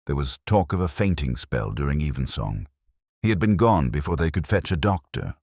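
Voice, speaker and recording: A very low-voiced, melancholy older retired inspector acted by a man narrates a literary detective story. His delivery is measured and erudite, with lively rises and falls and a wry, wistful turn. The sound is close and dry. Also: dry recording, exaggerated voice. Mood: wistful, brooding. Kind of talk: literary first-person detective drama monologue.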